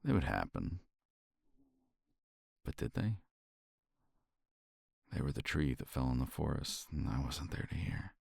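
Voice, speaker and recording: A man speaking softly and reflectively in a romantic ASMR roleplay, sighing often and sometimes dropping to a whisper, close to the mic. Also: rumbling low, very animated, unhurried, creaky, long pauses, slightly rough, dry recording. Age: older. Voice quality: soft, intimate, drifts into whispers.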